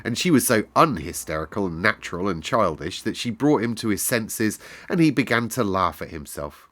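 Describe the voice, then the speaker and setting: A man reads a children's story in a low voice. His narration is expressive, with lively, wide-ranging intonation. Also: moderate pace, dry recording.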